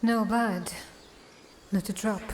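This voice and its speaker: A woman voicing a young, guarded female drow assassin in a fantasy film, speaking tersely and deliberately. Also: mid-range voice, fairly even intonation, darkish tone, slight background noise, close to an everyday voice. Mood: guarded, questioning. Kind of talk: cinematic fantasy voice acting.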